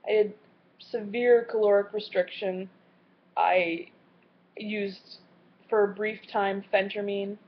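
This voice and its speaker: A woman in her late twenties talking in a personal video diary, earnest and candid, with a halting way of speaking. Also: mid-range voice, flat delivery. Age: late 20s. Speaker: vlogger. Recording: slightly muffled lo-fi audio.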